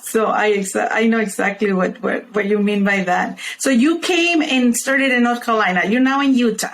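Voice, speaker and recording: A woman's voice: on the high side, moderate pace, low-quality recording. A woman hosting a podcast interview, encouraging her guest and asking long, rambling questions. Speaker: podcast host. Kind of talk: casual interview talk.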